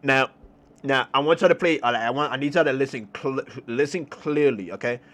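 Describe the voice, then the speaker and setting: A young man with a New York accent telling a story in a casual, slangy, animated way, repeating phrases for emphasis. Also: mid-range voice, normal speed, a little hiss or hum. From New York City, United States. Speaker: storytelling streamer.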